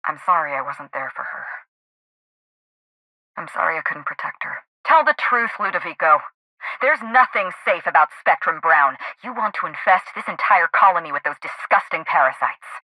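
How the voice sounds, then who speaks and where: A woman playing a vengeful, theatrical actress turned assassin in a video game, speaking in a dramatic, deliberate and menacing way. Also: lowish voice, lively intonation, sharp tone, no room sound, poor audio. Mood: bitter, grieving. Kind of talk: scripted game dialogue.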